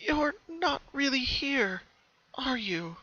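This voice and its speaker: A man's voice: very high voice, big pitch swings, slow, sharp tone, muffled recording, voice pushed up, full character voice. A man voicing a young female cartoon pony, anxious and easily shocked, with hesitant speech. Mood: uneasy.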